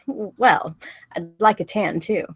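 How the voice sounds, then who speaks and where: A woman's voice: medium pitch, animated, slow pace, husky, full character voice. A woman reading the part of a playful, curious yet guarded wife in a film script, casual and teasing. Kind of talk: film script table read, naturalistic dialogue.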